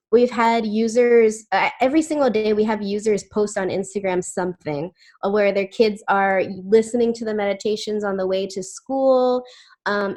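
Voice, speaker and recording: A woman speaking on a video call in a relaxed webinar chat. She talks at a medium pitch and keeps breaking into fillers and small restarts as she thinks aloud. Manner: chatty with many fillers and restarts. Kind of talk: casual webinar answers.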